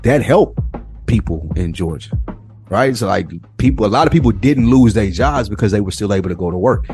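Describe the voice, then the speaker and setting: A man from the United States co-hosting a casual podcast, explaining his views in a laid-back, deliberate way. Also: on the low side, lively intonation, darkish tone, faint music behind. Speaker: podcast co-host. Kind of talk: casual conversational podcast discussion.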